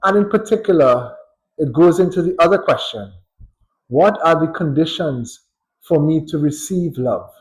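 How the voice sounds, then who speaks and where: A man with a Trinidadian accent, a psychologist giving a thoughtful, unhurried educational talk with pauses and light chuckles. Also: on the high side, lively intonation, rough recording. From Trinidad and Tobago. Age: adult.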